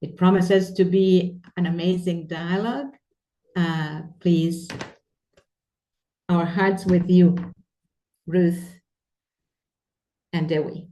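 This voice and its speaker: A woman moderating an interfaith event, introducing the speakers with hesitant pauses and restarts. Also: on the low side, fairly even intonation, warm tone, recorded from a distance, low-quality recording.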